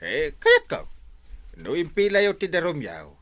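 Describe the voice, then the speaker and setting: An actor playing an excitable, flustered village captain in a comedy radio drama, sputtering with an Ilocano accent. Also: male voice, high voice, unhurried, creaky, heavily acted. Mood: dismissive, exasperated. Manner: sputtering, repeats hesitant syllables.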